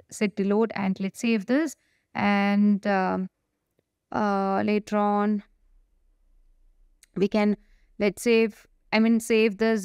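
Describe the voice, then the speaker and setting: Female software demo presenter, smooth and clear, improvised halting walkthrough, dry close recording. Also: medium pitch, no room sound.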